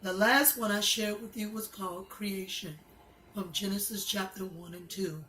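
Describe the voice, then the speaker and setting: A woman reading a children's story aloud, with halting, deliberate delivery. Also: mid-range voice, steady tone, pushed and bright, a little hiss or hum. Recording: echoey room.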